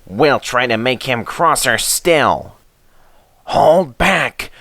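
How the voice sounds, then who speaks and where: A man's voice: mid-range voice, animated, extremely slow, vocal fry, fairly bright tone, no echo, studio quality, pitched above the performer's own voice, exaggerated voice. A man voicing a spiteful, whining railway brake van in a children's storybook reading. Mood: sly.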